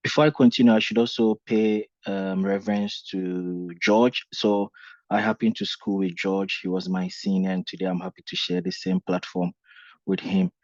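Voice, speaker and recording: A man's voice: deep voice, fairly even intonation, normal speed, darkish tone, no room sound, dull audio. A young man from Ghana giving a conference talk as a guest speaker, earnest and humble, with frequent fillers. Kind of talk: conference presentation.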